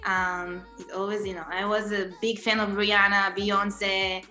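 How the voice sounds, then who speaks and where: Israeli-accented young woman, slow and soft. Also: medium pitch, little pitch movement, reverberant, soft background music. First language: Hebrew. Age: young adult. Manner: soft-spoken, with frequent ums and laughs. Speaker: guest singer. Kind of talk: casual interview answers over video call.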